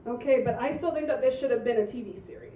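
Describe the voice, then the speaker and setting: Exasperated young female producer , played by a woman at a table read: high-voiced, impatient, distant tinny sound. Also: fast, reverberant, a little hiss or hum, lightly acted. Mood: businesslike, doubtful. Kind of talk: amateur script table read.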